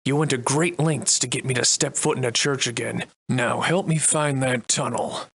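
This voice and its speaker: A man playing a sardonic, defiant old-fashioned gentleman adventurer in a video game, speaking in florid, archaic, theatrical phrases. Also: mid-range voice, moderate pace, breathy edge, no room sound. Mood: mocking, defiant. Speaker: voice actor.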